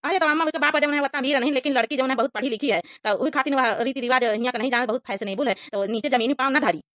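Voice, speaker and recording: An exasperated young cartoon bridegroom, voiced by a woman, pleads and argues in an Awadhi accent. The words tumble out extremely fast in a bright, tense, fairly high voice, dry and close. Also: no room sound. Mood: explaining. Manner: arguing, pleading. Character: young village bridegroom son, exasperated, defending his bride. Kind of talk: comedy cartoon voice acting in dialect.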